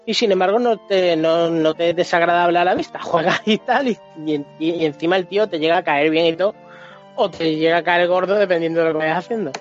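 A man with a Spain accent and a somewhat high-pitched voice talks as a podcast panelist. His speech is casual, animated and full of swearing and slang. The audio is a bit muffled, with music underneath. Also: quick pace. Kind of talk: casual chat about video games. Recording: dull lo-fi audio, music behind.

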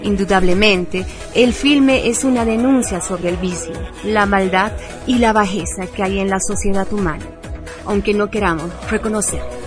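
A woman's voice: medium pitch, moderate pace. A woman reading a scripted film review as a radio presenter. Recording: prominent music bed under voice. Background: music.

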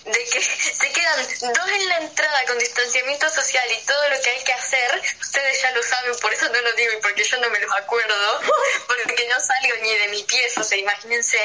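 Bright, high-pitched Argentine teenage girl. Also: a little fast, faint music behind, poor audio. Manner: playful, jokey. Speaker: radio show co-host. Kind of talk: casual chat among friends.

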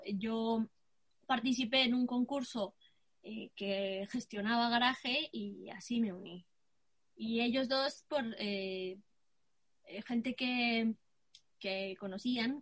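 A woman, answering interview questions with many fillers and pauses. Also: on the high side, no echo. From Guatemala. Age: 20s. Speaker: guest rapper.

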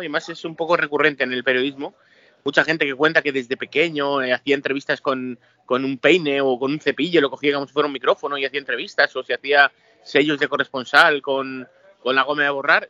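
A man's voice: on the high side, bright, forceful tone, dry recording, muffled recording. A man from Spain with a Madrid accent, a journalist guest answering interview questions hesitantly, with restarts and fillers. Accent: Madrid Spanish. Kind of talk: casual interview.

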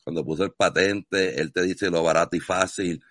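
A man's voice: sits low, fairly even intonation, normal speed, fairly bright tone, dry recording, studio quality. A man from Puerto Rico with a Puerto Rican accent, interviewing a guest in a casual video chat with enthusiastic, effusive praise. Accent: Puerto Rican Spanish.

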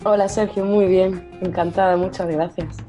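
A woman's voice: lowish voice, little pitch movement, moderate pace, mellow, highs cut off, soft background music. A woman from Spain, a language teacher, explaining things as an interview guest with small restarts. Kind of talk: casual interview chat.

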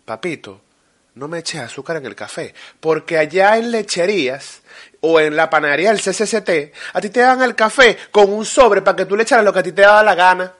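Hombre, acento venezolano, copresentador, habla enfático con jerga, voz clara y brillante. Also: male voice, high voice, lively intonation, fairly quick, a bit bright. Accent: Venezuelan Spanish. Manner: emphatic, slangy storytelling. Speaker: podcast co-host. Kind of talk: casual chat.

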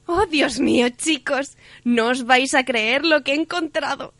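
A high, squeaky gossip girl, squealing with delight over a found diary, as a man puts her on. She talks in a breathless, gushing rush, in a dry, close sound. Faint music plays underneath. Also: unhurried, sharp tone, no echo, exaggerated voice.

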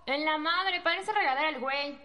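Very high, bright Mexican prison helper played by a woman. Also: fairly quick. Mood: urgent. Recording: long echoing reverb.

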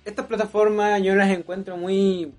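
A young man with a Chilean accent hosting a casual podcast chat over beers, slangy and breaking into loud, emphatic rants. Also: high voice, little pitch movement, moderate pace, faint music behind. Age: young adult. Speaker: podcast host.

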